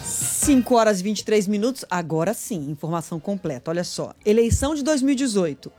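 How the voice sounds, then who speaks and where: A woman's voice: medium pitch, a little fast, soft background music. Chatty Brazilian woman news anchor. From Minas Gerais, Brazil. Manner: chatty, joking, animated asides. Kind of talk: casual live news chat.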